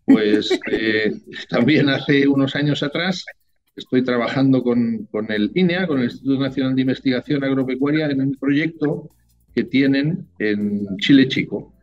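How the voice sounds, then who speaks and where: A man's voice: medium pitch, moderate pace, lo-fi sound. A man from Spain with a Spanish accent, a winemaker talking casually as an interview guest, rambling and full of tag questions.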